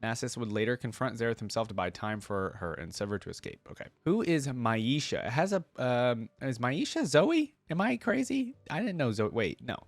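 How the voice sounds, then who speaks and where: A man's voice: medium pitch, big pitch swings, normal speed, few pauses, no echo. A man hosting a reaction video, casually reading aloud and commenting as he thinks things through.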